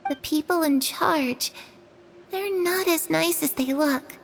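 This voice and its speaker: A woman voicing a frail, timid young girl who speaks slowly and hesitantly and slips into eerie whispers. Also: high voice, creaky, slight background noise, exaggerated voice. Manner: slow, hesitant, drifting into whispers. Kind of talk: video game dub acting.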